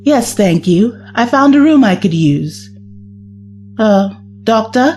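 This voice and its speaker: A woman's voice: a little high, expressive, takes it slowly, a little mellow. A woman playing a kind, reassuring young time-travelling companion in an audio drama. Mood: curious. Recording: music under the voice.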